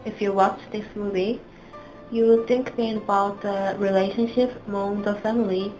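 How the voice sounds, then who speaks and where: A woman answers interview questions earnestly, pausing now and then. Her voice is mid-pitched, and faint music plays behind her. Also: steady tone, darkish tone, low-quality recording. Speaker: student interviewee.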